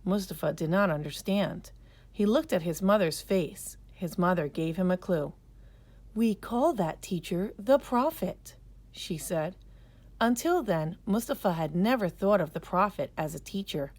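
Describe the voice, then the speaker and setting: A woman storyteller narrating a scripted children's story in a careful, expressive way. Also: on the low side, normal speed.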